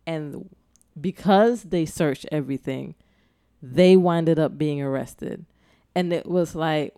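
A woman's voice: lowish voice, warm tone, clear, resonant tone, dry recording, studio quality. A woman co-hosting a podcast, telling a story in casual chat and acting out the dialogue as she goes. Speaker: podcast co-host.

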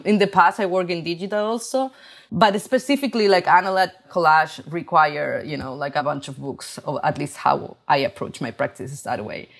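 A woman in her forties from Chile with a Chilean Spanish accent, talking haltingly about her art practice with many fillers and restarts. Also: fairly low pitch. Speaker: artist.

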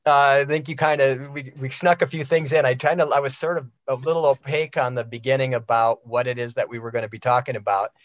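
A man's voice: mid-range voice, fairly even intonation, fast, few pauses, dry recording, lo-fi sound. A man hosting an interview, asking friendly, loose questions with fillers. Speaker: interview host. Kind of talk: casual interview.

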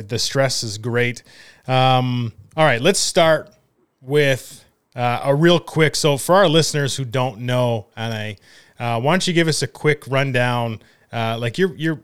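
A male sports host with a clear, smooth voice at an average pitch. He talks casually and informally, like a buddy chatting with listeners and guests. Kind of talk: casual sports talk and interviewing.

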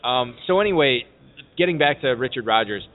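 A man hosting a casual fantasy football podcast live from an event. He talks fast, jokes around and keeps the talk moving with questions. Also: medium pitch, animated. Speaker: podcast host. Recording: phone-like narrow sound.

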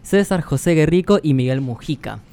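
A man's voice: a little high, lively intonation, moderate pace, gravelly, warm tone, smooth, no room sound. A man with an Argentine accent co-hosting a podcast in casual, colloquial chat. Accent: Argentine Spanish. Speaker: podcast co-host.

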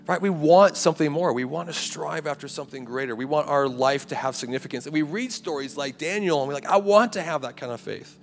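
A man preaching a conversational sermon to a campus ministry, his voice raspy and a little hoarse. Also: on the high side, fairly quick, few pauses. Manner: conversational preaching with rhetorical "right?" and "like". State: hoarse, clearing throat. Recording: live hall recording, long reverb, faint music.

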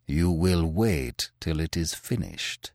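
A man voicing a calm, kindly but ruthless gentleman who speaks quietly and deliberately. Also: on the low side, dry recording, studio quality, full character voice. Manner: quiet, slow and measured.

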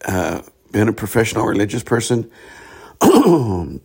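Hoarse, gravelly-voiced man talking slowly. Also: fairly low pitch, huge pitch swings, a little mellow. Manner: slow and halting, many "uh" pauses. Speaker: host of a spiritual talk show. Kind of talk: reflective solo monologue.

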